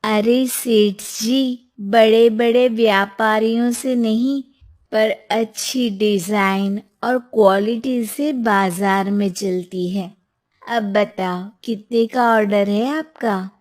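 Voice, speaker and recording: A woman's voice: mid-range voice, fairly even intonation, slow pace, a little mellow, close to an everyday voice. A woman voicing a determined, principled young businesswoman in a moral tale, earnest and firm. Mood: confident.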